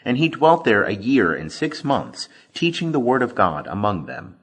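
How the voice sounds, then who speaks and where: A man reading scripture as scripted audiobook narration, with expressive, deliberate emphasis. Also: fairly low pitch, breathy edge. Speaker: scripture reader.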